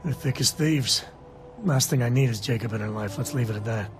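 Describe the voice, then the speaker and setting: An actor playing a guarded, weary space cowboy in a video game, speaking in a casual, folksy, reluctant way. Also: male voice, medium pitch, brisk, a little hiss or hum, lightly acted. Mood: reluctant, confiding.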